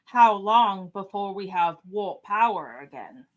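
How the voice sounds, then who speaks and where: A woman's voice: mid-range voice, lively intonation, measured pace, highs cut off, natural voice. A woman playing a dignified, authoritative starship captain in a fan radio play. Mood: dismayed. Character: commanding, questioning starship captain, formal and dignified.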